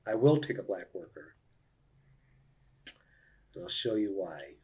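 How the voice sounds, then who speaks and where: A man's voice: sits low, very animated, a little slow, darkish tone, highs cut off. A man giving casual live commentary on a solo board game, thinking aloud and explaining each move to viewers.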